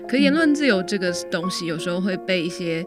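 A woman's voice: on the low side, expressive, fast. A woman with a Taiwanese Mandarin accent speaks as an academic guest in a casual interview, phrasing her thoughts carefully and tentatively. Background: music.

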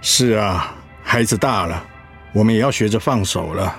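A man's voice: fairly low pitch, normal speed, a bit bright, faint music behind. An actor playing a caring, fretful middle-aged husband and father in a radio drama. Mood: resigned.

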